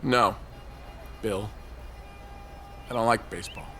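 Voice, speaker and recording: An actor playing a bitter, paranoid loner on a high ledge in a naturalistic audio drama, speaking haltingly with defiant, cynical, profane edges. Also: male voice, fairly even intonation, sharp tone, a little hiss or hum, exaggerated voice. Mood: bleak, dry.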